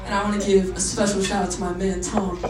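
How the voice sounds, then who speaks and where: A woman in her twenties from Massachusetts, a saxophonist bandleader chatting excitedly with a club crowd between songs, laughing often. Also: mid-range voice, fast, over loud music. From Massachusetts, USA. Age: 20s. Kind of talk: live stage banter between songs. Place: live music club on stage. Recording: echoey club, distant mic, loud crowd and noise.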